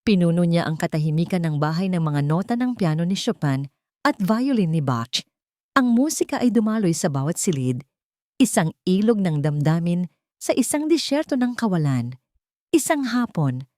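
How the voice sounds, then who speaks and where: A woman with a Filipino accent narrating a dramatic audiobook story in a measured, expressive way, phrase by phrase. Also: fairly low pitch, no echo, studio quality.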